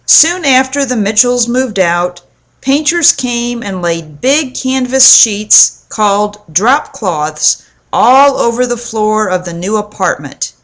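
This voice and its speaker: Slow-paced woman reading a children's story. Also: a little high, animated, a bit bright. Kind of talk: storybook narration with acted dialogue.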